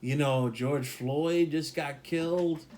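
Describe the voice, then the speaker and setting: A man's voice: a little high, slow pace, darkish tone, slight background noise. A man talking casually as a podcast co-host, emphatic and rambling, repeating points for stress. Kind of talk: casual opinion talk.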